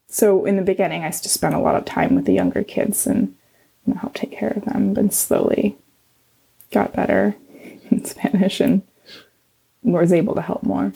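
A woman's voice: fairly low pitch, gravelly, mellow, slightly rough. A middle-aged woman, a scientist guest whose first language was Chinese, answering in casual conversation, hesitant with many ums and restarts.

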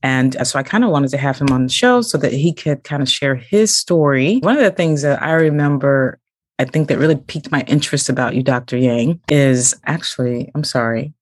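A woman's voice: extremely low pitch, fairly quick, dry recording, clean studio sound. A woman hosting a podcast interview, reading a guest introduction and then chatting, laughing at her own slips. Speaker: podcast host.

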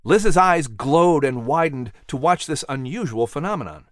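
A man narrating a children's story from a script in an expressive storytelling style. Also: a little high, moderate pace, no echo, clean studio sound. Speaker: storyteller and host.

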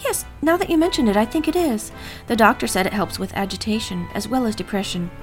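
A woman's voice: on the high side, quick pace, soft background music, natural voice. A woman playing a chatty, busy household caregiver in an audio drama, obliging in manner. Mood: cheerful, chatty. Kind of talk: audio drama phone conversation.

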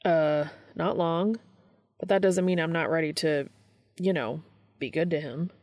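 A woman's voice: deep voice, a little slow, a little mellow, no room sound, clean studio sound. A woman voicing an easygoing, caring young man in an audiobook, casual and joking. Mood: earnest.

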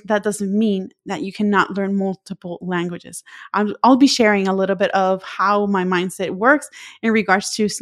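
A young adult woman from Puerto Rico, a native Spanish speaker, telling her own language-learning story in a reflective solo podcast. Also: mid-range voice. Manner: reflective, storytelling with personal anecdotes. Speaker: podcast host.

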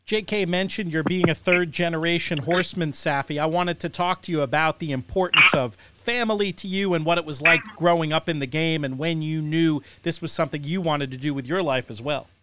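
A man co-hosting a radio interview, asking smooth, fluent questions. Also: a little high, a little fast, few pauses, pushed and bright, a little hiss or hum.

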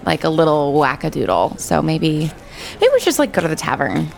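A woman's voice: deep voice, sing-song, fairly quick, vocal fry. A woman talking casually and colloquially as a player in a tabletop role-playing game. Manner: colloquial, frequent "like". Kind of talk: casual chat, in-character role-play.

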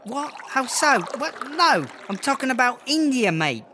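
Comedy audio drama: male actor as cocky English woman inmate, slangy blusterer, high bright voice over music. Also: big pitch swings, a little slow, slight background noise, full character voice. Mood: indignant. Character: brash, foul-mouthed English female prison inmate and rapper. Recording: music under the voice.

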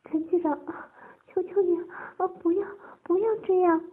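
Woman acting a high, trembling, pleading meek banker. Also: steady tone, normal speed, narrow, tinny audio. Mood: pleading, distressed. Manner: trembling, breathy, soft pleading. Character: meek, flustered married banker, pleading and bashful.

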